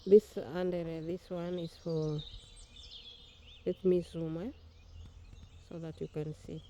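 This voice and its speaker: A woman's voice: lowish voice, flat delivery, slow pace, dark tone, a little hiss or hum. A woman whose first language is Swahili, chatting casually in a vlog as she films her garden outdoors on a phone, often restarting her phrases. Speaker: vlogger. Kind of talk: casual walk-and-talk vlog.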